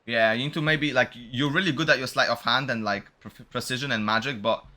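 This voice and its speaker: A man's voice: medium pitch, brisk, bright, forceful tone. A man streaming a game, chatting casually and informally with slangy live commentary. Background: game music.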